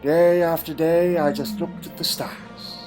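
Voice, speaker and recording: Male actor as a troubled, proud Time Lord for audio drama; slightly high voice, old-fashioned wording, melancholy and guarded, over faint music. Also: little pitch movement, unhurried, darkish tone, natural voice. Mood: wistful, haunted.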